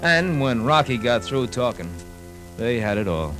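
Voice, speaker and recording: An actor playing an earnest, hard-boiled American sidekick in a slangy 1950s radio drama narration. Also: male voice, medium pitch, big pitch swings, a little slow, poor audio. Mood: recounting. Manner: colloquial, slangy. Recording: old radio recording, music underneath.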